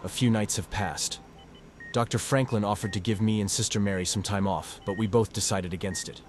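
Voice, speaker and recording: A man's voice: lowish voice, fairly even intonation, brisk, a little hiss or hum, lightly acted. A man playing a shaken young medical student, narrating and acting in a horror audio drama in an earnest, somber way. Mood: grave. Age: young adult.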